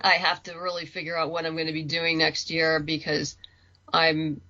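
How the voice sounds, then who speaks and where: Bright-voiced woman on lo-fi audio. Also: sits low, level tone, moderate pace. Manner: chatty, informal, thinks aloud. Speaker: interview guest, writer and creator. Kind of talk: casual conversational interview.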